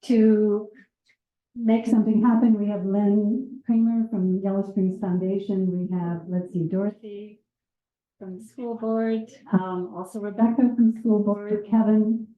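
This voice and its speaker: A woman moderating a community panel, welcoming a live audience in a gentle, measured and slightly hesitant way. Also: mid-range voice, level tone, phone-quality sound. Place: community meeting room with a seated circle. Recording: roomy room sound.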